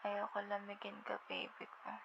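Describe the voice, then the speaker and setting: A woman acts out a drowsy, loving young girlfriend with a Filipino accent in an intimate ASMR roleplay. She speaks slowly in a flat, gravelly-edged murmur, tender and a little hesitant. The audio is somewhat dull. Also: mid-range voice, background noise, close to an everyday voice. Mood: soft, caring. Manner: drowsy, tender, hesitant, slips between Tagalog and English. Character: sleepy affectionate young girlfriend on a late-night phone call.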